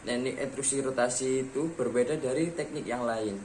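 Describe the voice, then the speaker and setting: A man at an everyday middle pitch reads a scripted technical lesson, calmly moving from one numbered point to the next. A faint hum of ambience sits under the voice-over. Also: normal speed, rough recording. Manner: reads steadily from a script, listing steps in order. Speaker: student presenter.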